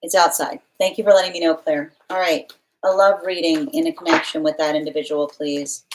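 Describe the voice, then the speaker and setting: A woman's voice: deep voice, level tone, brisk, darkish tone. A woman giving a live-streamed tarot reading, thinking aloud, reading viewer comments out and often restarting her phrases. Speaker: tarot reader.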